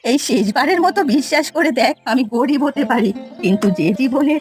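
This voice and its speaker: A woman as elderly village granny, stingy but repentant, plaintive, high voice, cartoon story, music behind. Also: animated, brisk. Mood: pleading. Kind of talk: Bengali cartoon story voice acting.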